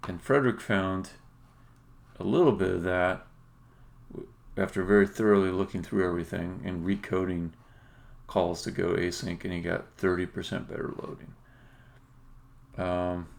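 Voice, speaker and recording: Man, game developer on a livestream, deep voice, slow pace, casual thinking aloud, deliberate and halting, emphatic stresses. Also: gravelly. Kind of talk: casual live-coding commentary, thinking aloud.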